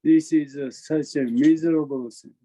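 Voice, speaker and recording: A man with a Japanese accent giving an informal spiritual talk in English as a Zen master, halting, repeating his phrases and chuckling often. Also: fairly high pitch, fairly even intonation, dark tone, low-quality recording. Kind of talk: informal spiritual talk over online meeting. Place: online video meeting.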